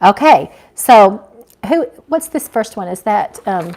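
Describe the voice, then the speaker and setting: A woman's voice: mid-range voice, animated, takes it slowly, darkish tone. A woman hosting a casual live chat as an author, effusive and grateful toward her viewers. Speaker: author and live-stream host.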